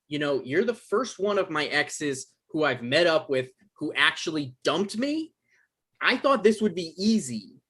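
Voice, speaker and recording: A man's voice: on the high side, big pitch swings, measured pace, fairly bright tone, breathy edge, full character voice. A man playing an evasive, defensive guy in a table read, stammering and hedging in an awkward conversational way. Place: online live stream table read.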